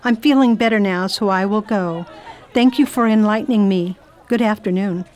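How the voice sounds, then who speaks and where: A woman's voice: medium pitch, normal speed, darkish tone, some chatter behind, close to an everyday voice. A woman playing a genteel, inquisitive lady traveller who acts and narrates in a radio drama. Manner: polite, inquisitive, exclamatory. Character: genteel, curious well-off English lady traveller. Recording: faint background noise, ambience.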